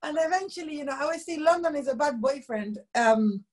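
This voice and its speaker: A woman in her thirties from Luxembourg with a husky voice, telling stories animatedly with frequent laughs over a video call from home. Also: high voice, fast talker. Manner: animated storytelling, laughs often. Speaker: musician guest. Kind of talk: casual video call interview. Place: home room, indoors.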